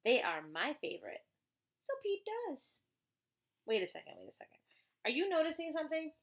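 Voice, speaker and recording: A woman's voice: high voice, wildly swooping pitch, a little fast. A woman reading a children's picture book aloud as a teacher, playful and chatty, with casual asides and questions to a young listener.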